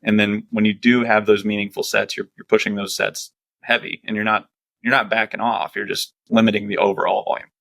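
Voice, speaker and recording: A man's voice: fairly low pitch, flat delivery, fairly quick, vocal fry, fairly bright tone, no echo, low-quality recording. A man, a strength and conditioning coach, speaking casually as a podcast guest, laughing often and talking loosely. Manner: laid-back, laughs often, loose filler-heavy talk.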